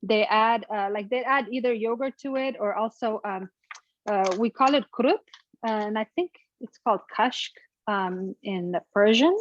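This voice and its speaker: A woman's voice: fairly high pitch, normal speed, muffled recording, slight background noise. A young woman with an Afghan accent, chatting hesitantly and laughing as she walks through cooking a family dish. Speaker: guest cook. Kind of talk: casual cooking demonstration.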